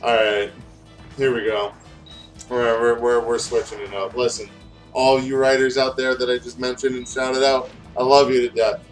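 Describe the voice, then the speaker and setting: A man's voice: medium pitch, flat delivery, moderate pace, soft background music. A man in casual, giggly chat, reading aloud over a video game. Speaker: guest co-host reading fan fiction.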